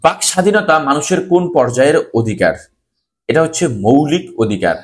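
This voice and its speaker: A man's voice: on the high side, sing-song, brisk. A man with a Bangladeshi accent teaching an exam-preparation lesson, reading questions aloud and instructing students, repeating key phrases for emphasis.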